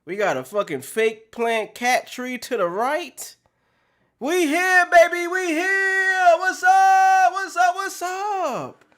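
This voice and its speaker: A man hosting a podcast livestream in a room with plants, talking casual and profane, loudly hyping up his chat with slang and shouted greetings. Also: extremely high pitch, slow.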